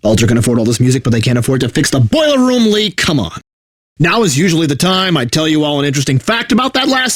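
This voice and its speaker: A man's voice: fairly high pitch, no echo, studio quality. An actor playing a bitter, sardonic video game radio DJ who resents his corporate bosses, mixing station patter with theatrical despair. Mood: bitter exasperation.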